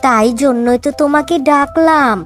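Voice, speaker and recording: Demanding cartoon newlywed wife played by a woman, very high childlike pitch, nagging, imperious, music underneath. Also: moderate pace, lightly acted. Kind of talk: Bengali cartoon voice acting.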